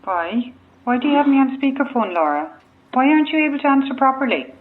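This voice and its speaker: A woman's voice: sits high, very animated, fast talker. A woman playing an exasperated, sarcastic talent agent in an audio drama, heard through a phone speaker. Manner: clipped, sarcastic, scolding. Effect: phone speaker filter. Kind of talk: modern audio drama acting. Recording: tinny speakerphone line.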